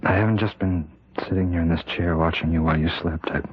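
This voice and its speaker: An actor playing a tormented, frightened prison warden in an old-time radio drama, speaking haltingly and repeating himself in anguish. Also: male voice, low-pitched, steady tone, a little hiss or hum, lightly acted. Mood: haunted, confessing. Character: tormented prison warden husband, fearful he is possessed. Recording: muffled old radio recording.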